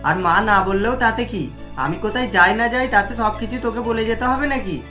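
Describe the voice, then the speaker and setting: Woman as a scornful young husband, high-pitched. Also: normal speed, music in the background, lightly acted. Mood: scornful, irritated. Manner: curt, contemptuous. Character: rude, scornful young husband from rich city family. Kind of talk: cartoon story voice acting.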